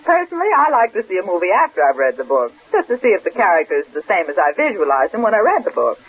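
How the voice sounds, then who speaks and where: An American actress playing a sensible, agreeable, gently amused friend in a vintage radio comedy. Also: female voice, lowish voice, sing-song, quick pace, husky. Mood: patient, sensible. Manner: patient, polite, gently amused. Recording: muffled old radio broadcast recording.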